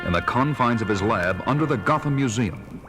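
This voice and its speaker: An actor playing a grave, composed masked crime fighter in a superhero audio drama. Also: male voice, mid-range voice, animated, moderate pace, close to an everyday voice. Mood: measured storytelling. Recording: loud dramatic music underneath.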